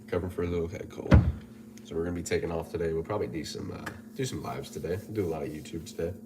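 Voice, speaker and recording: Deep-voiced congested man. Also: very fast, heavy background noise. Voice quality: nasal. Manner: relaxed, chatty, repeats himself, reads out viewer comments. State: congested from a head cold. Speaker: personal trainer and gym owner. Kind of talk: casual livestream chat.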